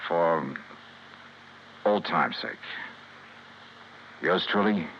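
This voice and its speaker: An actor playing a weary, sardonic insurance investigator in a 1950s radio drama. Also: male voice, deep voice, extremely slow, full character voice. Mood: weary, somber. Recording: dull, noisy vintage radio recording.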